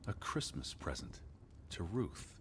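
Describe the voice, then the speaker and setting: An actor playing a weary, skeptical 1920s American naturalist in a video game, speaking in a measured, dry, reflective way. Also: male voice, sits low, sing-song, a bit bright, a little hiss or hum, full character voice. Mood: somber musing.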